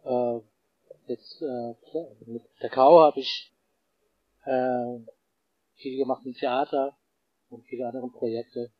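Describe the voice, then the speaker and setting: Male guest dancer, very slow and halting, dry and dull remote sound. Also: medium pitch, steady tone, no echo. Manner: halting, brief phrases. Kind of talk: casual interview talk.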